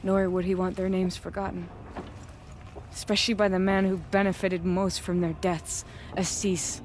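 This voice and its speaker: A woman's voice: lowish voice, level tone, normal speed, darkish tone, a little hiss or hum, lightly acted. An actress playing a proud, determined young noblewoman hunter in a video game, speaking with formal resolve. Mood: somber regret. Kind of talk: cinematic video game acting. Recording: music behind.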